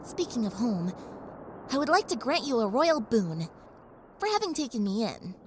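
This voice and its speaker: A woman's voice: on the high side, sing-song, moderate pace, background noise. A woman playing a young pony princess in an audio drama, polite, playful and earnest, with a friendly, slightly grand manner. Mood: grand, gracious. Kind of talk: audio drama character acting. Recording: faint background ambience.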